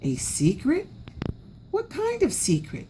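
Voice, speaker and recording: A small, anxious boy raccoon from a picture book, played by a woman reader. The voice is raised high and swings up and down in a childlike, plaintive way, full of curiosity. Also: wildly swooping pitch, slow, dark tone, slight background noise, heavily acted. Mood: curious.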